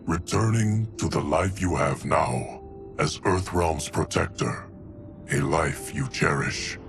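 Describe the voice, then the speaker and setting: A calm steward of time with a very deep voice. He speaks formally and deliberately, a stoic fantasy game figure, with strong music behind him. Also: full character voice. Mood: solemn. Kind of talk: dramatic video game acting.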